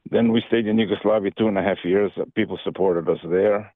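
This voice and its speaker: Older male radio caller from Albania, deep voice, stumbling and repeating words. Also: steady tone, dry recording. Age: 60s. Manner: halting, many restarts and repeated words. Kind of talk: casual phone-in chat. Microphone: telephone. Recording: tinny phone line.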